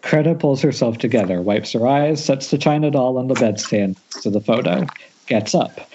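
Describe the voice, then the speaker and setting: A man's voice: medium pitch, moderate pace, mellow, a little hiss or hum. A man reading stage directions as narrator at an amateur table read, recorded over a muffled internet call.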